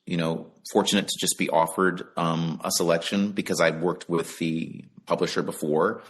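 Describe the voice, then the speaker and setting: Deep-voiced man talking over a call. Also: fairly even intonation, moderate pace. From Bahamas. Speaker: audiobook narrator and actor. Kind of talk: conversational interview over video call. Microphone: video call.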